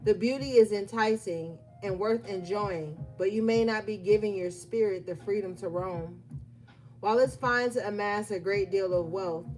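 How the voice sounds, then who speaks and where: Woman tarot reader at a mid-range pitch with soft music underneath. Her pace is unhurried and she alternates between reading from the guidebook and chatting loosely. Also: low-quality recording. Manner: reads guidebook text aloud, then riffs conversationally with fillers. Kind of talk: collective tarot reading, casual address to viewers.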